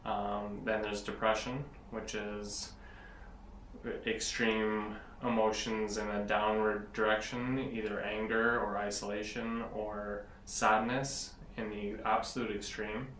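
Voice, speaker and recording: A man in his late twenties, answering interview questions in an earnest, rambling way, often pausing to search for words. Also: on the low side, level tone, fairly bright tone. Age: 20s. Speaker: interviewee, blogger. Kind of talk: casual interview. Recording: echoey room from a distance, faint noise.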